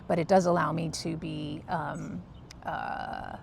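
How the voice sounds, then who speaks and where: A soft-spoken, low-key woman explaining her work casually in a vlog, pausing hesitantly as she goes. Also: flat delivery, gravelly, a little hiss or hum. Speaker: film crew first assistant director.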